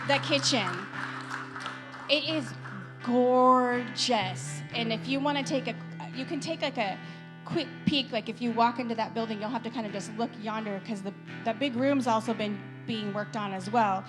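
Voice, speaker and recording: American lady doing church announcements, chatty n excited, kinda high voice, guitar playing behind her. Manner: enthusiastic, chatty. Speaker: church co-leader giving announcements.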